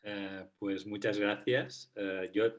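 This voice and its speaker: A man's voice: on the low side, little pitch movement, takes it slowly. A man with a Catalan accent, a researcher speaking as a debate guest, hesitant with many fillers and restarts. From Catalonia, Spain. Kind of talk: debate talk.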